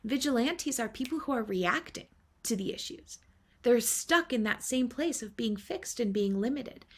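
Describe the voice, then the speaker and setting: A woman giving an earnest spiritual talk, speaking with affirmations and unhurried pauses. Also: fairly high pitch, lively intonation. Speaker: spiritual teacher.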